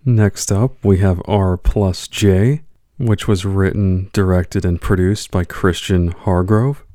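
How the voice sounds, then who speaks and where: A man's voice: sits low, steady tone, slow, warm tone, dry recording. A man hosting a podcast, reading scripted introductions in a dry, close recording. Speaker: podcast host.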